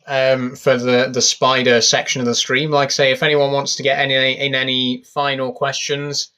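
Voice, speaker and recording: Young man, casual stream host, bright tone, rambling delivery. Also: mid-range voice. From England. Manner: rambling, relaxed, many fillers. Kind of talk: casual live stream chat. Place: bedroom at home.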